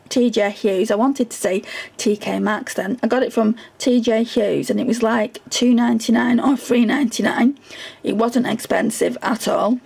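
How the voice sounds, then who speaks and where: Woman, beauty vlogger, gravelly and fading hoarse, chatty with lots of ums. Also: a little high, darkish tone. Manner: chatty, frequent ums, repeats words for emphasis. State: voice going hoarse. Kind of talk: casual product review.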